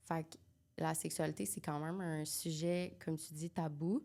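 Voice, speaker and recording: A woman's voice: deep voice, fairly even intonation, normal speed, creaky, mellow. A young woman from Quebec with a Québécois accent, co-hosting a casual podcast chat, chatty and mixing English into her French. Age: young adult. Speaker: podcast co-host.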